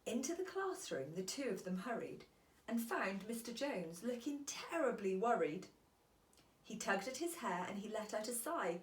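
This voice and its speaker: A woman reading a children's storybook aloud as a teacher, in an expressive, deliberate storytelling manner. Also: mid-range voice, reverberant, a little hiss or hum, low-quality recording.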